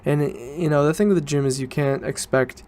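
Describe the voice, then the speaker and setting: A man's voice: on the high side, moderate pace, darkish tone, a little hiss or hum. A young man, student age, talking casually and informally as a solo podcast host, rambling and correcting himself as he goes. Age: teens to young adult student.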